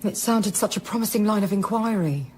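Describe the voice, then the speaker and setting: A woman's voice: mid-range voice, a little fast, background noise, natural voice. An actress playing a resourceful, anxious woman scientist in a naturalistic radio drama, conversational and matter-of-fact.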